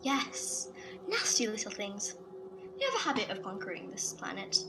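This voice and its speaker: Sardonic, self-assured female operative played by an actress, animated delivery, faint noise behind. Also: mid-range voice, normal speed, vocal fry. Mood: breezy, musing. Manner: sardonic, breezy. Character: cocky, sardonic, knowing female agent. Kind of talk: audio drama acting.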